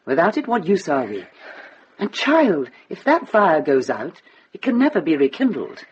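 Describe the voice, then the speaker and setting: A woman's voice: deep voice, huge pitch swings, moderate pace, a little hiss or hum. A woman playing a shrewd, kindly, mature medieval nun in a radio drama, frank and wry in manner. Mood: grave, compassionate. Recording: dull recording with music behind.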